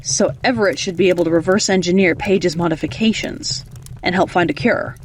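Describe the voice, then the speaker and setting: An actor playing a cool, terse secret agent in a video game, with a clipped deadpan delivery. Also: male voice, sits high, fairly quick, slight background noise, lightly acted. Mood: businesslike.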